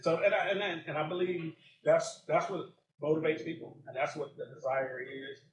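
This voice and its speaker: Male podcast host, slightly high voice. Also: moderate pace, roomy sound, poor audio. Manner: interviewer, many "right" backchannels. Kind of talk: live podcast interview. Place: stage at a live event.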